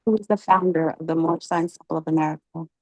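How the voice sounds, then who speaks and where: Dry, low-voiced woman leading a class. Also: fairly even intonation, dry recording, rough recording. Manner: encouraging, halting with many ums. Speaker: class leader asking catechism questions. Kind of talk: religious class question-and-answer.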